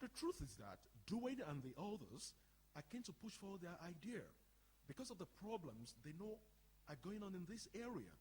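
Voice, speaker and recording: High swoopy-voiced guy actor doing him, matter-of-fact committee man, stage play. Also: very animated, normal speed, darkish tone. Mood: explanatory. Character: unbothered, matter-of-fact man reporting on centre business. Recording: reverberant live hall performance.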